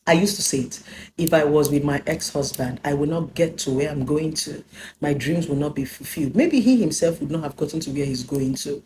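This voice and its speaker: A woman's voice: low voice, mellow, slight background noise, rough recording. A Nigerian woman in her thirties giving an emphatic personal talk, preaching her lessons and slipping into Pidgin. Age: 30s. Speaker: relationship speaker.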